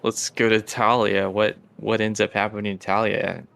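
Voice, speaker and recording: A man's voice: fairly low pitch, level tone, normal speed, vocal fry, fairly bright tone, slight background noise. A man leading a casual group discussion as the game master of a tabletop game.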